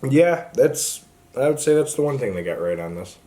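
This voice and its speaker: Male book vlogger, medium pitch, informal and opinionated, unscripted chat straight to the audience. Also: big pitch swings, moderate pace, dark tone. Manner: informal, opinionated, frequent "like" and "honestly". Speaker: book reviewer, video host.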